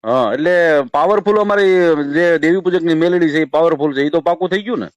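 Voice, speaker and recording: A man's voice: on the high side, fairly quick, no room sound. A man with a Saurashtra Gujarati accent pressing someone with probing questions in a confrontational call. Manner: probing, persistent. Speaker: caller challenging a shrine keeper.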